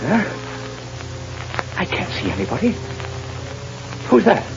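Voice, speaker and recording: A man's voice: sits high, wildly swooping pitch, slow pace, vocal fry, heavily acted. An actor playing a panicked, desperate condemned man, pleading hysterically in a 1930s radio drama. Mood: frightened, desperate. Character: condemned innocent male prisoner, panicked and desperate. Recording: muffled old radio, hum and noise.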